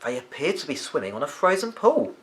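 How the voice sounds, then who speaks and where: A man reading a children's novel aloud as an expressive storyteller. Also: fairly high pitch, brisk.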